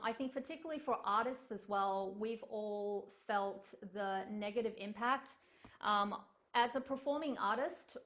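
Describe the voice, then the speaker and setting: Chatty woman lecturing over a video call. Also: fairly high pitch. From Australia. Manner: chatty, earnest, frequent ums and uhs. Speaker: cellist giving an online lecture. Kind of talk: online lecture presentation. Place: home room on a video call.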